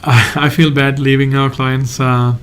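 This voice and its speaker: A man from Sri Lanka, a migration agent hosting a live Q&A and answering viewer questions in a halting, hedging way. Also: medium pitch, level tone, slight background noise. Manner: halting, hedges often, reads out viewer questions.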